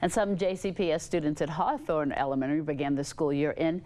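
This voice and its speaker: A woman anchor reading scripted television news stories in a measured, clear delivery. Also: low-pitched, fairly even intonation, dark tone.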